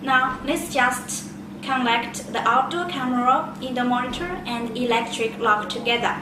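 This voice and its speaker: Echoey, distant, higher-voiced woman presenting a product. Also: a little slow. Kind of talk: product demonstration, step-by-step explanation. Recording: echoey room, distant mic, loud noise.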